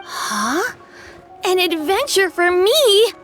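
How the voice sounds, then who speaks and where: A woman's voice: very high voice, big pitch swings, slow pace, a bit bright, soft background music, exaggerated voice. A woman voicing a small, innocent young dragon in an anime video game, childlike, earnest and a little tentative. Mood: wistful, unsure.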